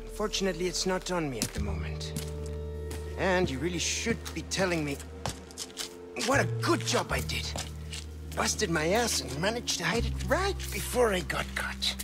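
Lively, high-pitched sly rogue. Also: male voice, moderate pace, over music. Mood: cocky, casual. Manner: teasing, cocky, evasive. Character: sly, roguish adult male researcher bargaining for survival. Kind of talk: video game cutscene acting.

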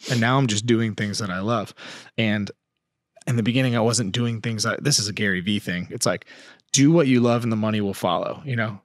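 Guy in his 30s on a podcast, lowish voice, rambling stories, dry mic. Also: dry recording. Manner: animated storyteller, trails off and restarts, laughs. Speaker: guest. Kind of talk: casual podcast chat. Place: dim studio set on a couch. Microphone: boom mic, headphones.

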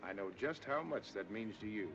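An actor playing a cynical, dashing blockade runner, smooth and sardonic, on a dull old film soundtrack with music behind. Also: male voice, fairly low pitch, expressive, moderate pace. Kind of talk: 1930s film acting.

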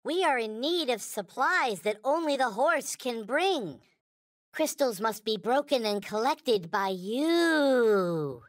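A tiny toy space alien from a video game, naive and endlessly grateful. The voice is high and childlike, delivered at an unhurried pace with lively rises and falls. The phrasing is stilted, solemn and formal, as if everything were sacred. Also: male voice, dry recording, clean studio sound, full character voice. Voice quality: high-pitched, childlike cartoon voice. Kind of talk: video game character voice lines.

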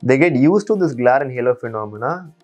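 A man in his thirties, an eye surgeon, calmly and methodically explaining to patients from a small clinic office on a lapel mic. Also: mid-range voice, brisk, muffled recording, faint music behind. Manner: calm, methodical, steady explainer. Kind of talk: explanatory patient education talk.

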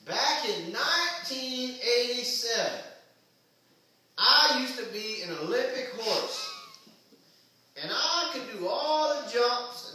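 An elderly, easily annoyed horse puppet acted by a woman scolds its audience in a high, bright voice. The delivery is theatrical and unhurried, for a homemade puppet show, heard from a distance in a very echoey room. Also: exaggerated voice. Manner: theatrical, scolding, addresses audience as ma'am and sir. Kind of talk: improvised home puppet show. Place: large home living room, hard floor. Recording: echoey room, far from the mic.

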